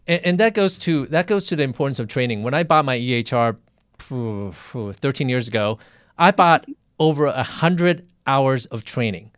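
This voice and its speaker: A man hosting a conversational live interview as a physician, speaking haltingly and repeating phrases, in a quiet sound-treated room. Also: mid-range voice, animated, clear, resonant tone, no echo, studio quality.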